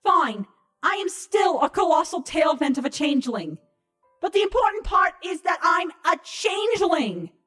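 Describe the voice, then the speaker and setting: Woman voicing a high-pitched sarcastic young changeling. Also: sing-song, moderate pace, a bit bright, soft background music, full character voice. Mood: indignant. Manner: sarcastic, whiny, emphatic. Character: sarcastic, exasperated young female changeling, insect-like pony creature. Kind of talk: audio drama fan reading.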